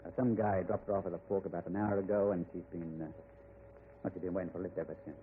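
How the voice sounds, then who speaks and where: A man's voice: low voice, steady tone, fast talker, lightly acted. Man as casual gas station attendant, tinny old radio. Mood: coaxing, familiar. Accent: American. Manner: colloquial, hesitant, familiar. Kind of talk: 1940s radio drama acting. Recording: tinny, noisy old radio broadcast recording.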